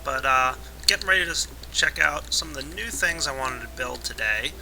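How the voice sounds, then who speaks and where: A man with a raspy voice today, casually explaining a modded game as its let's play host, with relaxed fillers. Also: medium pitch, normal speed, faint music behind, low-quality recording. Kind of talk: casual gameplay tutorial narration.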